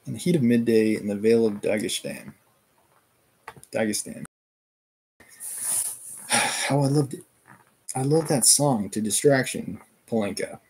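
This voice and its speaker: A man voicing a dying, delirious mother, hoarse and gasping between words, in a read-aloud novel. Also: medium pitch, measured pace, pauses often, darkish tone, rough recording. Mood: feverish.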